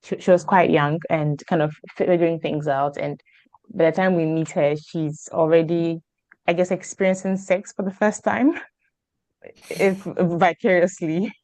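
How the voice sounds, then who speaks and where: Low-voiced woman chatting about books, close dry sound. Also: fairly even intonation, a little slow, darkish tone, no echo. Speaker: book club panelist. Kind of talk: casual book discussion.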